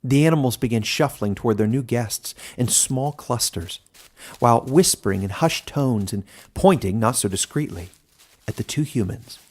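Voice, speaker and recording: A man reading scripted fantasy narration as a storyteller, deliberate and expressive. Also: medium pitch.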